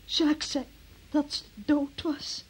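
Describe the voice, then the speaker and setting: A woman's voice: high voice, fairly even intonation, slow. An actress in a radio drama playing a frightened young woman under police questioning, tearful, faltering and pleading. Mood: distressed, faltering.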